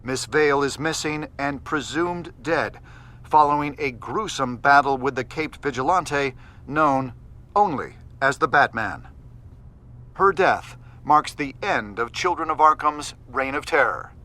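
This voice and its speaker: A man playing a formal television news anchor in a video game, reading in a measured newsreader style. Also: medium pitch, pushed and bright. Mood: formal, serious. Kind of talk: scripted news report in a game.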